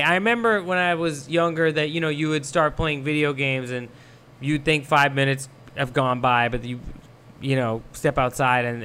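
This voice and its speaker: A young man who grew up in Thailand, talking as a guest in a casual chat, rambling and relaxed with many fillers. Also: a little high, clean tone. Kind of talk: casual podcast conversation.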